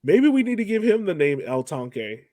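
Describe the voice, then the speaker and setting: A man's voice: high-pitched, big pitch swings, moderate pace, mellow, no room sound. A man hosting a soccer podcast, chatting casually and steering the discussion along. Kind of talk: casual sports chat.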